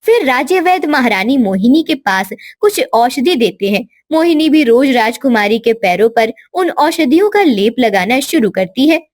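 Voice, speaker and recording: High-pitched woman narrating a story. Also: big pitch swings, moderate pace, few pauses, dry recording. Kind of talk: scripted cartoon story narration.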